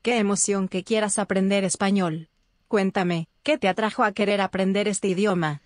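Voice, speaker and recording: Smooth robotic-sounding lady tutor, super dry close sound. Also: mid-range voice, fairly even intonation, moderate pace, no echo. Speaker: AI language tutor voice. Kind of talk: synthetic tutor speech.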